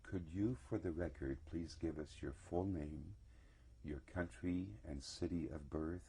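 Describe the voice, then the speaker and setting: Male interviewer, extremely low and husky, formal and procedural delivery. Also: dark tone. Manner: formal, procedural, measured phrasing. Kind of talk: formal oral history interview.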